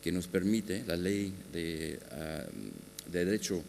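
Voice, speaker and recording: A man giving a conference talk on a panel, speaking haltingly with many filler sounds and restarts. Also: sits low, fairly even intonation, a little mellow. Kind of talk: conference presentation. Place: large conference hall. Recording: long hall reverb with faint hum.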